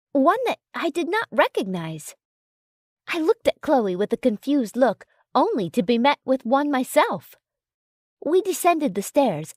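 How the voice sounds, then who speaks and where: A woman's voice: sits high, a little mellow, dry recording, studio quality. A woman reading a first-person romance story as a scripted narration.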